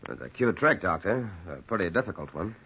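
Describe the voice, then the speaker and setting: A man's voice: lowish voice, lively intonation, brisk, husky. An actor playing an eager, practical young man, protective of his sweetheart, in old-time radio drama. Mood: curious, probing. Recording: dull, noisy vintage radio recording.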